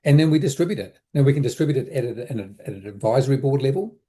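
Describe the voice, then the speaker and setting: A man with a notably dark, soft-edged voice at an ordinary male pitch. He explains things conversationally, like an advisor being interviewed. His delivery is reflective, circling back and rephrasing as he goes. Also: animated. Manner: reflective, halting, repeats words and rephrases as thinking aloud. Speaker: interview guest, business advisor.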